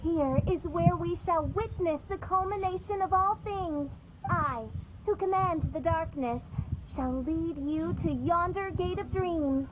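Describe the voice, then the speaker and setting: A woman's voice: extremely high pitch, a little slow, background noise. A woman playing a theatrical young gothic princess in a video game, pompous and archaic in her delivery. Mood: grandiose. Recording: thin phone-like sound with music behind.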